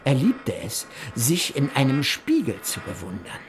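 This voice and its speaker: A man giving measured, formal narration of a journal in a literary radio play. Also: mid-range voice, wildly swooping pitch, noisy background. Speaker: narrator reading an explorer's journal.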